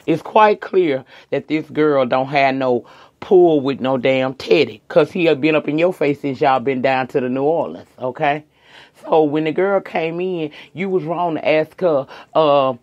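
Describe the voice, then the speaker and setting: A middle-aged woman, hoarse tonight, chatting casually and sassily to viewers as she reviews a reality show. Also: sits low, a little mellow, highs cut off. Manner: chatty, sassy, addresses viewers as girl and y'all.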